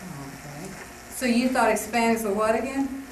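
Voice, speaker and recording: A woman's voice: a little high, lively intonation, normal speed. A woman teacher leading a classroom discussion, firm and directive, prompting students with questions. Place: school classroom. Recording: echoey classroom, from far off, faint hiss.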